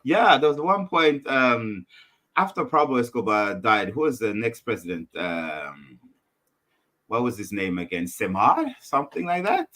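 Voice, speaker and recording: A man's voice: medium pitch, sing-song, measured pace, a bit bright. A man, debating casually and insistently as a podcast co-host, repeating phrases for emphasis. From Malawi. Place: small room at home, on a video call. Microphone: video call with headphones.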